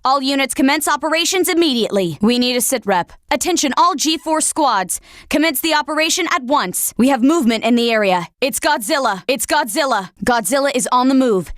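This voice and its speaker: A woman's voice: sits high, expressive, a little fast, a bit bright, no echo, clean studio sound. A woman voicing an urgent military operations officer in a video game, giving formal, commanding announcements in a clipped delivery. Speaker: game voice actor.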